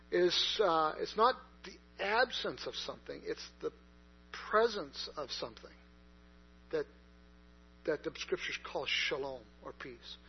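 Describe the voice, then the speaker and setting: A man's voice: high-pitched, husky. A man preaching a sermon in a conversational way, with many fillers and restarts. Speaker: pastor. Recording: dull lo-fi recording with mains hum.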